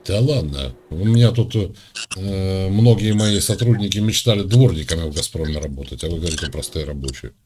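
A man from Russia hosting a chat, needling and mocking the person he talks to in a deadpan way. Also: low voice, brisk, slight background noise. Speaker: host. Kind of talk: mocking video-call chat.

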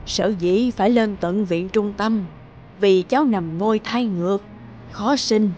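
A woman voicing a gentle, devoted grandmother who tells a family tale in a measured, tender cartoon storytelling voice. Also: mid-range voice, dull audio, a little hiss or hum, lightly acted. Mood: gentle, nostalgic. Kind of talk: animated storytelling.

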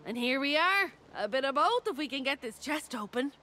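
A woman's voice: high voice, expressive, normal speed, sharp tone, slight background noise. A woman playing a breezy, teasing treasure hunter in a video game, with a wry, playful delivery. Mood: breezy, scheming. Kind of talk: scripted game voice acting.

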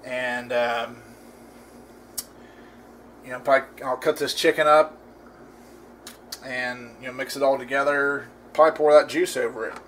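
A man hosting a casual home cooking demo in his kitchen, talking in a relaxed, rambling way with lots of fillers and restarts. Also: mid-range voice, unhurried, long pauses, slight background noise. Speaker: cooking channel host.

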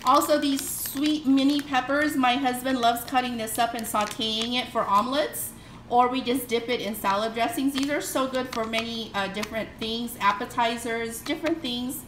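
A woman's voice: on the high side, steady tone, moderate pace, background noise. A middle-aged woman chatting casually through a home grocery haul, upbeat and listing items with frequent fillers, filmed on a handheld phone. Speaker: vlogger. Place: home kitchen, indoors. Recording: echoey kitchen room.

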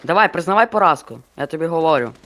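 A man's voice: on the high side, animated, fast, pushed and bright, a little hiss or hum. A man leading a squad over game voice chat, repeating orders and swearing. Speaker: squad leader in an online game. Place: online game voice chat, gunfire behind.

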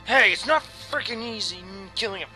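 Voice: mid-range voice, a little fast, pushed and bright, soft background music, low-quality recording. Someone voicing a flippant, whiny young male hero in a comic fantasy game dub. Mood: sarcastic. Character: goofy, sarcastic young male adventurer hero.

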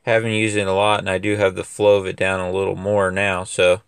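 A man's voice: deep voice, little pitch movement, fairly quick, creaky, clean tone, dry recording, clean studio sound. A young man running a lawn care business, chatting casually in a loose, rambling way full of fillers and restarts. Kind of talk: casual equipment review vlog. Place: outdoors in a wooded yard.

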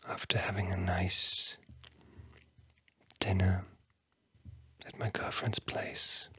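A tired-sounding ASMR host whispering a bedtime talk in a hushed, soothing, breathy way with long pauses. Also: low voice, flat delivery, no echo.

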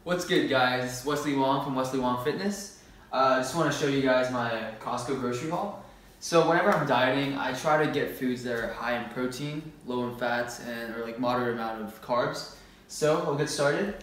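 A man's voice: medium pitch, normal speed, a bit bright, a little hiss or hum. Young man, very echoey room, distant mic. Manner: laid-back, informal talk with slang. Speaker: fitness vlogger. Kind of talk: casual grocery haul vlog. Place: bare-walled room indoors. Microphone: camera a few metres away.

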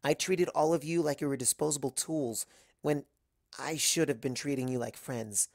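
A man's voice: on the high side, fairly even intonation, moderate pace, creaky, no echo, studio quality. A man voicing an earnest, troubled young female unicorn pony who speaks hesitantly and stammers. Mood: troubled, guilty.

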